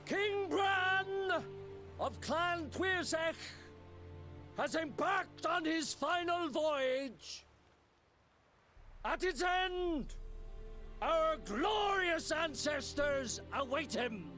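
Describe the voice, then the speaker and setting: A high, solemn funeral orator. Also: male voice, expressive, sharp tone, exaggerated voice. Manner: slow, ceremonial, proclaiming. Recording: game cutscene with music.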